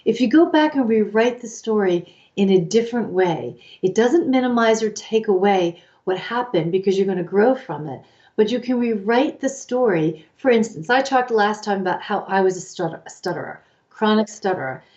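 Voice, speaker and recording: A woman in her fifties co-hosts a casual advice chat in a mid-range voice. She explains in an animated way and slips into the voices of the people she quotes. The sound is a little dull and lo-fi. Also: medium pitch, moderate pace. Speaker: co-host, life coach.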